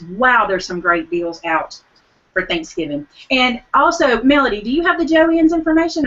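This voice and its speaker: Bright, eager woman hosting crafts. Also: on the high side, highs cut off. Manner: enthusiastic deal announcer. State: losing her voice. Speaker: co-host. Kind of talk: live online craft show chat.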